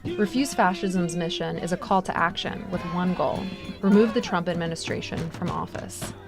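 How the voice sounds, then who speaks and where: A woman's voice: on the low side, normal speed, over music. An American woman reporter delivering scripted news narration.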